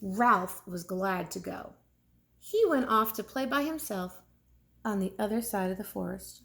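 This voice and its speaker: A woman reading a storybook aloud like a teacher, expressive and deliberate for young listeners. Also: mid-range voice, creaky.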